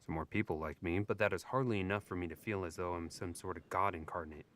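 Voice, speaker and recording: A man's voice: deep voice, fast, fairly bright tone. A man playing a wry, abrasive young elf nobleman in an audio drama, with a dry, sardonic delivery. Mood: wry, unimpressed.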